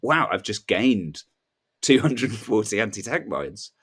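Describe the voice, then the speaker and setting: A man, a comedian guesting on a podcast, telling rambling, self-deprecating anecdotes in a halting conversational way. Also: on the low side, very animated, fairly bright tone, breathy edge.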